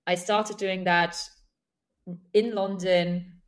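Fluent young woman, low voice, podcast guest. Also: level tone, slow pace. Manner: fluent, explains terms as she goes. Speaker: guest, senior account executive. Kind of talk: podcast interview.

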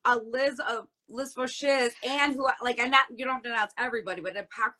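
A woman with a fairly high, very bright voice. She co-hosts in a chatty, emphatic style, repeating phrases for effect. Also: steady tone, fast talker. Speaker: podcast co-host. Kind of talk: casual chat.

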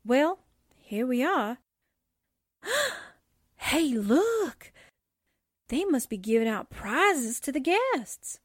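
A woman's voice: sits high, big pitch swings, very slow, long pauses, husky, no room sound, heavily acted. A woman playing a brash, wide-eyed newspaperwoman sidekick, slangy and exclaiming, in 1940s radio drama style. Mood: curious, breezy.

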